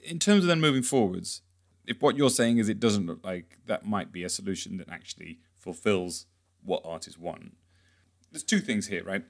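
A man's voice: low voice, moderate pace, gravelly. A man hosting a podcast, putting questions in a conversational, tentative way and rephrasing as he goes. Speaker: podcast host, interviewer. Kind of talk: conversational interviewing.

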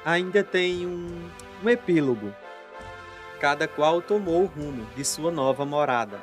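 A radio soap narrator addresses listeners in a scripted, theatrical way. The voice sits at a medium pitch, with faint music behind it. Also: lively intonation, unhurried.

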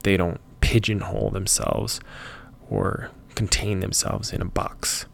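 A man speaks slowly in a low, gravelly voice, musing aloud on a solo podcast. He rambles thoughtfully and stops to correct and rephrase himself as he goes. A faint noise sits under the recording. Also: level tone.

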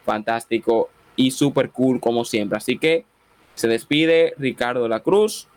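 A man's voice: mid-range voice, no room sound. A man from the Dominican Republic with a Dominican Spanish accent, hosting a radio program and preaching a sermon with a closing prayer. He speaks fervently, with frequent exclamations of amen and praise. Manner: leads a repeat-after-me prayer in a slow, solemn cadence.

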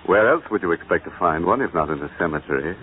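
A man's voice: deep voice, animated, quick pace, slight background noise. An actor playing a ghoulish, drolly macabre horror host in 1940s radio style, theatrical and mock-courteous, savoring his words. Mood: macabre, playful. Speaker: host of a radio mystery show. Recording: muffled old radio broadcast, music behind.

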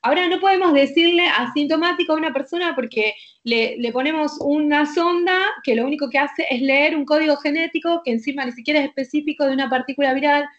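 A woman's voice: high voice, fast, few pauses. A woman with a Rioplatense Spanish accent, a doctor explaining at length in an insistent, emphatic way and often checking that the listener follows. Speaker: doctor guest. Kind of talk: heated conversational explanation. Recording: lo-fi dull audio.

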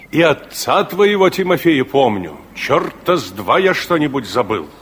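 An actor playing an elderly, earnest war veteran reminiscing in a theatrical, declamatory 1960s radio-play style with deliberate pauses. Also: male voice, medium pitch, slight background noise, close to an everyday voice. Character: elderly reflective war-veteran grandfather looking back on his life. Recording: 1960s radio drama recording with music.

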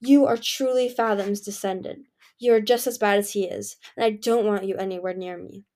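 A woman voicing a cold, menacing male dragon king who growls his lines slowly. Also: mid-range voice, fairly even intonation, a little mellow, lightly acted. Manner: growling, clipped, icy.

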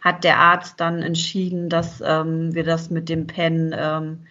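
A woman's voice: deep voice, completely flat delivery, slow pace, bright, forceful tone. A woman in her thirties, a podcast guest, talking reflectively and hesitantly with many fillers. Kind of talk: casual interview chat. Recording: dull lo-fi sound.

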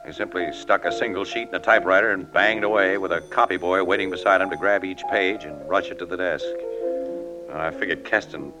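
An actor playing a tough, wry American newspaper photographer in an old-time radio crime drama, laconic and self-assured. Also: male voice, low-pitched, fairly quick, lightly acted. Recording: muffled old radio recording, music behind.

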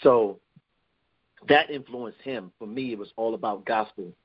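A man's voice: on the low side, unhurried. Rambling New man, muffled line. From New York. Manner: rambling with many restarts. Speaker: guest. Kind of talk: casual interview talk.

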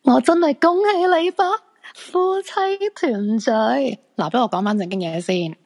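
An actress with a Hong Kong Cantonese accent playing an excitable, insistent crystal fortune-teller in a radio drama. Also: female voice, fairly high pitch, a little slow. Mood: sarcastic, businesslike.

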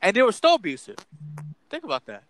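A man's voice: high-pitched, wildly swooping pitch, fast talker, fairly bright tone. A young man hosting a casual debate, pressing others with pointed questions.

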